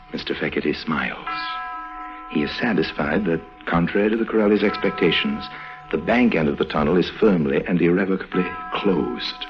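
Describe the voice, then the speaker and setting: Guy playing a deep voiced smooth-operator con man, wry and ironic, old 1950s radio show w/ music under it. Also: close to an everyday voice, poor audio. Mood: hushed, wry. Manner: wry, ironic, unhurried storytelling. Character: wry, worldly, self-assured male con artist. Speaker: lead actor and narrator. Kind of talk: 1950s radio drama narration and dialogue.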